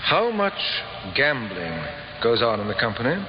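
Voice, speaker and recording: An actor playing a composed police inspector in a radio drama, questioning in a measured, dry and politely firm way. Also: male voice, medium pitch, very animated, natural voice. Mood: probing, measured. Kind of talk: 1950s radio drama acting. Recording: dull, noisy, echoey old radio recording.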